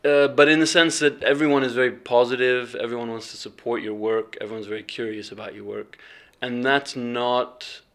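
A man with a middle-pitched voice talks in a relaxed interview. He is reflective and halting, often breaking off and restarting as he searches for words. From England, raised partly in Bahrain. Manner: hesitant, reflective, many restarts and ums. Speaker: filmmaker and fellowship alumnus.

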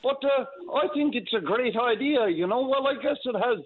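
A man's voice: very high voice, lively intonation, moderate pace. An older man, calling into a radio show and rambling folksily with many hesitations. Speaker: caller. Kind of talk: casual call-in chat. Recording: tinny phone line.

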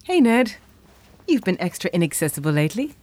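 A woman's voice: fairly low pitch, sing-song, fairly quick. An actress playing a teasing, affectionate girlfriend in an audio drama. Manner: teasing, warm. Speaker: chocolatier girlfriend. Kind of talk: radio drama acting.

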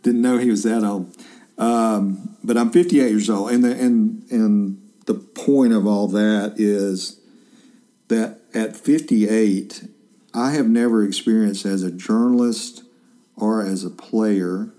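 A man's voice: lowish voice, steady tone, slow pace, mellow. A man in his fifties, a journalist hosting a casual interview, chatty and rambling with lots of fillers and restarts. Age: 50s.

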